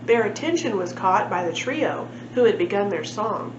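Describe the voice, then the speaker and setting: A woman's voice: medium pitch, lively intonation, normal speed. A woman reading a children's storybook aloud as a library storytime narrator. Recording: echoey room, muffled, clear background noise.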